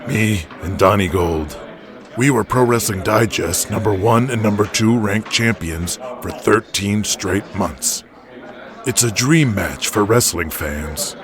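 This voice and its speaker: A man plays an intense pro wrestler in an audio drama, pressing his case with earnest insistence. His voice swings up from a low base, with a crowd behind it. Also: takes it slowly. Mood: eager.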